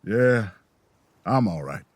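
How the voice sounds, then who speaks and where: An actor playing a wary, folksy traveler who tells his story in an audio drama with a soft drawl. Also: lowish voice, lively intonation, no room sound. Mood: relieved, gentle. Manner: slow, suspenseful first-person narration. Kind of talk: audio drama narration and dialogue.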